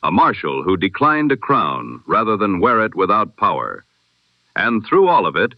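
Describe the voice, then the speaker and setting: A man reading the day's news as a formal, measured 1920s radio bulletin. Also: lowish voice, no room sound. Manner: formal, measured, rhetorical delivery. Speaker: newsreader. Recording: dull lo-fi radio-style sound.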